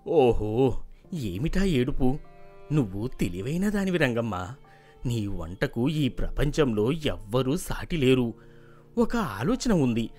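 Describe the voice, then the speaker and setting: A man voicing a gentle elderly village husband in an animated story, slow and reassuring. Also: mid-range voice, faint music behind.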